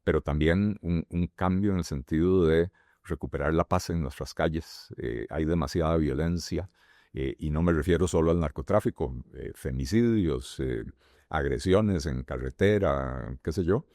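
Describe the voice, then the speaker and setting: A middle-aged man with a Costa Rican accent, answering interview questions as a candidate in a halting way with many fillers and restarts. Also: extremely low pitch, darkish tone, no room sound, clean studio sound. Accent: Costa Rican Spanish. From Costa Rica. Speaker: presidential candidate.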